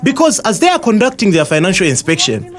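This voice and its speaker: A man's voice: sits high, huge pitch swings, fast, fairly bright tone, exaggerated voice. A man acting an earnest, indignant citizen with a Zambian accent who explains things emphatically in a scripted educational audio drama. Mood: emphatic.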